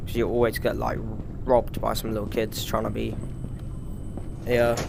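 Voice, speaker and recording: A man with a British accent giving rambling, casual commentary over his gameplay, swearing freely and filling pauses with "like" and "um". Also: fairly low pitch, completely flat delivery, gravelly, background noise. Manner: rambling, frequent swearing and fillers. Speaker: gaming YouTuber.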